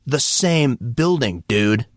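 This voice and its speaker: A man's voice: medium pitch, lively intonation, slow, no echo, clean studio sound. A man voicing a proud, indignant teenage boy with slangy bro-talk in an audiobook story. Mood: annoyed.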